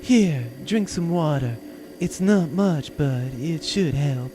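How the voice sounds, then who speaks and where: Comedy audio drama sidekick played by a woman: kindly, spirited, down-home phrasing, animated delivery, noise behind. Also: low-pitched, slow. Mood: gentle, sympathetic. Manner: folksy, uses ain't and y'all. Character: soft-hearted, feisty female bounty hunter's sidekick.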